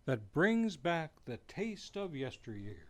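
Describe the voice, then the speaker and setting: A man as announcer of an old-time style radio show, dramatic and lively. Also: on the high side, takes it slowly.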